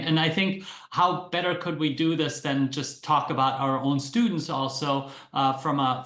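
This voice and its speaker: A man's voice: on the high side, fairly bright tone. Hesitant man moderating in an echoey room. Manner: rambling, hesitant, many fillers. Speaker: panel moderator. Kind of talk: moderating an academic panel.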